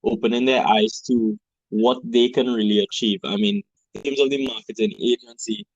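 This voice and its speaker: A man's voice: mid-range voice, fairly even intonation, normal speed, breathy edge, no room sound. Earnest man, dull dry sound. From Trinidad. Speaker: business founder guest. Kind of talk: conversational interview.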